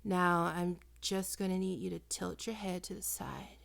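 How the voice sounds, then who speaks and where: A woman acting in an ASMR roleplay as a doting, possessive vampire posing as a small-town doctor, coaxing and teasing, often dropping into breathy whispers. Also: fairly low pitch, fairly even intonation, moderate pace. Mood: soothing, hushed. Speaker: doctor character in audio roleplay.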